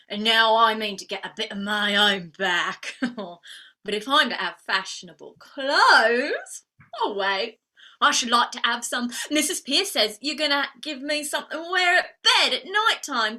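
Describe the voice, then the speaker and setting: A woman's voice: high-pitched, sharp tone. A woman playing a proud, cheeky young working-class flower girl with a Cockney accent in a staged play reading. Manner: cheeky, ungrammatical, indignant.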